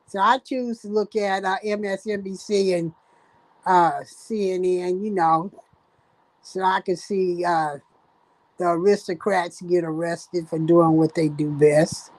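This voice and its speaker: An older woman from Ohio, the host's mother, chatting as a guest in halting speech full of fillers and restarts. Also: lowish voice, fairly even intonation, a little mellow, dry recording, poor audio. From Dayton, Ohio, USA. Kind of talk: casual chat.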